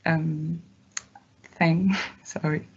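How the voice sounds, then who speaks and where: Young German woman, low flat gravelly voice. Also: very slow, highs cut off. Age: young adult. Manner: hesitant, many fillers, laughs between phrases. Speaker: student ambassador. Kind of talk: casual talk at an online open day.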